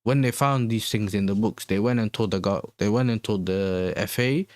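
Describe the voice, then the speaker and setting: Slangy London man, lowish voice, close dry sound. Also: normal speed, a little mellow, dry recording. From Netherlands. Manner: slangy, conversational, repeats himself. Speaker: livestream host and football fan. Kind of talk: casual livestream chat answering viewers.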